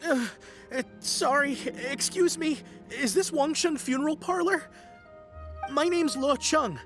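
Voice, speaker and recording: A woman's voice: high voice, unhurried, faint music behind. A woman voicing a nervous, frightened young villager in a video game, hesitant and apologetic. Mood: nervous, fearful. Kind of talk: English video game voice acting.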